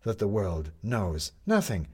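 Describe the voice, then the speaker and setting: A man's voice: on the low side, wildly swooping pitch, slow pace, slightly rough, full character voice. A man voicing a bitter, proud, talkative male con artist in an audiobook, with emphatic, rhetorical delivery. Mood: confessional, impassioned.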